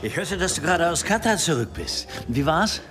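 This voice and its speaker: Radio play casting: a chummy, emphatic academic, fairly high voice with lively swings. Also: male voice, normal speed, over music. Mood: eager, chummy. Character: smooth, effusive left-wing male intellectual, a professor. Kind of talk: naturalistic radio drama dialogue.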